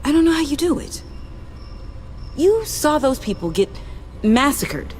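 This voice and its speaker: A woman's voice: high-pitched, big pitch swings, unhurried, a little mellow, soft background music. An actress playing an earnest, determined young woman in a video game, speaking with intense, direct emotion. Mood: distressed. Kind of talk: dramatic video game acting.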